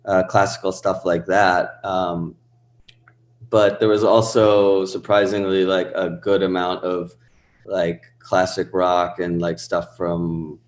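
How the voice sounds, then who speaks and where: A man's voice: low-pitched, flat delivery, slow pace, echoey room. A man in his thirties, a musician guest chatting casually and rambling with many fillers and restarts. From born in Pakistan, raised in Rhode Island. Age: 30s. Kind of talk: casual interview chat.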